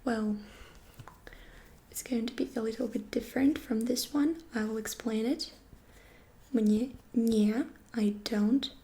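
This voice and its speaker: A woman whispers her way through a gentle ASMR language lesson. Her voice sits a little high and mellow, and she takes her time over every phrase, patient and unhurried. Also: steady tone. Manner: soft whisper, slow patient explaining. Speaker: Russian language teacher.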